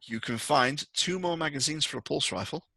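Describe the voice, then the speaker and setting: A man's voice: on the high side, brisk, gravelly, bright, forceful tone, dry recording. A man narrating a tabletop roleplaying game as game master, improvising in a conversational way.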